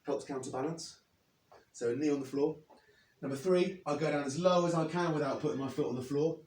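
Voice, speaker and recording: A man in his thirties, slightly hoarse after losing his voice, explaining and demonstrating leg exercises step by step as a fitness coach. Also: a little high, a little fast, darkish tone. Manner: plain, step-by-step coaching while demonstrating moves. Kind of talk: instructional workout demo. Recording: echoey, from a distance.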